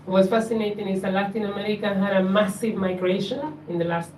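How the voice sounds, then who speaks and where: A woman from Bolivia speaking up from the audience at an open panel, in an earnest, measured, slightly halting way. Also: medium pitch, a little mellow, a little hiss or hum. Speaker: audience member. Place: community event room. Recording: echoey room, distant mic.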